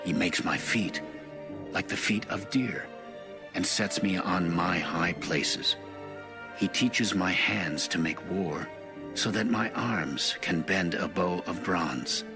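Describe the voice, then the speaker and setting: Male scripture narrator, deep and solemn, measured and unhurried delivery, clear music underneath. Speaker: Bible narrator.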